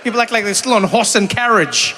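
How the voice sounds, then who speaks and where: A middle-aged man doing stand-up with a high, bright and forceful voice. He teases the crowd and keeps slipping into loud, animated impressions. He is heard in a big theater, with the sound ringing out in a long reverb over a faint hiss. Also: fast. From South Africa. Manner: animated, jumps into shouted impressions and teasing banter. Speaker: stand-up comedian. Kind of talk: stand-up comedy with crowd work. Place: stage in a large theater before an audience. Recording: live theater with long hall reverb and faint room noise.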